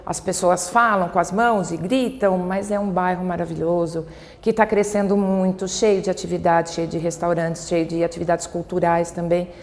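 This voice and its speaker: A woman's voice: on the low side, steady tone, normal speed, a little mellow. A Brazilian woman with a São Paulo accent, talking conversationally in a documentary interview. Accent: São Paulo Brazilian Portuguese. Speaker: local resident interviewee. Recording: long reverberant hall, faint background noise.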